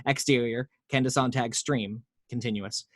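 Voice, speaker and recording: A man at home reading screenplay action lines over a video call, steady with small slips. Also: medium pitch, moderate pace, no echo. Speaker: table read host reading action lines. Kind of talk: script narration.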